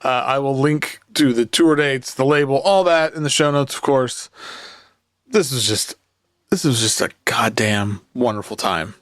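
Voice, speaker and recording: A man's voice: mid-range voice, measured pace, fairly bright tone, no room sound, studio quality. A man hosting a music podcast, introducing his guest with rambling enthusiasm. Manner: enthusiastic, rambling, many fillers. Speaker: podcast host.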